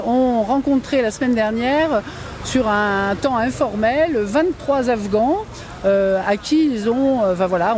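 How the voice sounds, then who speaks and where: A woman introducing a press conference as spokesperson, improvising a little and pausing to rephrase. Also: mid-range voice, darkish tone. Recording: faint outdoor urban noise.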